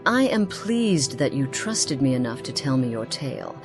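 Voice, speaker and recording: A woman voicing an ageless, secretive noblewoman in a fantasy game, speaking formally with theatrical pauses, refined, wistful and sly. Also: a bass voice, sing-song, music in the background, exaggerated voice. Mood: thoughtful. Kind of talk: scripted game character dialogue.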